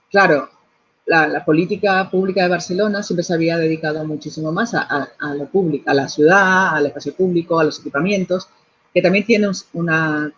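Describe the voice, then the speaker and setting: A woman's voice: low-pitched, husky, dull audio. A middle-aged woman from Argentina with an Argentine Spanish accent, an architect answering interview questions thoughtfully, restarting phrases and adding tag questions. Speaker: architect and urban planner.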